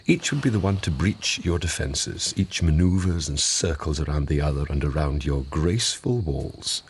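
An actor in a radio drama playing a haughty, urbane lord of an old Japanese court, talking in a dry, deliberate manner. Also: male voice, a bass voice, expressive, slight background noise. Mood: sly, disdainful. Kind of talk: BBC radio drama acting.

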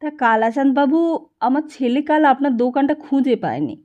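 A woman's voice: sits high, expressive, brisk, a little mellow. A woman voicing an uneasy, trusting male villager in an audiobook story, speaking in a hesitant way. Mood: uneasy, curious. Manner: hesitant, tentative. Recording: dull lo-fi narration recording.

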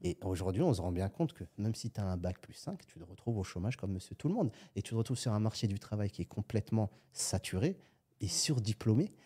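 A man on a podcast with a husky, somewhat low voice, talking fast. He is a guest entrepreneur who tells his points as little acted-out scenes. His tone is dark and mellow. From France. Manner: animated storytelling, acts out little scenes. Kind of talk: casual podcast conversation.

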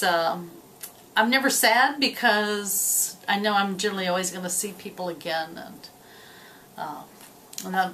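A woman's voice: lowish voice, fairly bright tone, a little hiss or hum, rough recording. A middle-aged woman giving casual interview answers. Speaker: college ESL teacher. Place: small office indoors. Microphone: camera a few feet away.

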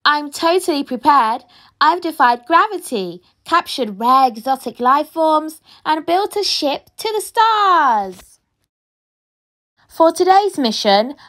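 A woman reading a children's picture book aloud with expressive, dramatic storytelling delivery. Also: high-pitched. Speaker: storybook reader.

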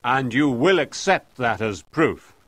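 A man's voice: medium pitch, expressive, slow, a bit bright, dry recording. A man voicing a bold, domineering professor and explorer in an audiobook, with a pompous, commanding manner. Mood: challenging. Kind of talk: audiobook character voice.

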